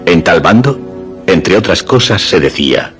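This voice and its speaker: A man's voice: sits low, animated, measured pace, husky. A man giving dramatic scripted narration for suspense radio theatre. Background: music.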